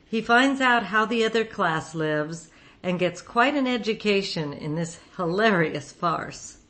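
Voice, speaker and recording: A woman hosts a radio show in a slightly low voice. She reads a prepared introduction, presenting the programme to listeners. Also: a bit bright. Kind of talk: scripted introduction.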